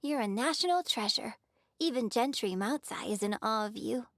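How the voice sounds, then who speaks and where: A woman's voice: on the high side, expressive, normal speed, a bit bright, no echo, studio quality. A woman playing a gracious, refined young opera star in a video game, speaking politely and formally. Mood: relieved, gracious.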